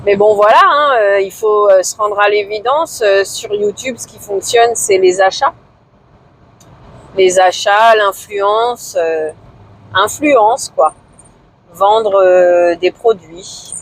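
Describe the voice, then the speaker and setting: A woman in her forties talking casually to camera in a vlog, hesitant and low-key, with many fillers and pauses. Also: lively intonation, slight background noise, low-quality recording. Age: 40s. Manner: low-key, somewhat discouraged. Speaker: vlogger.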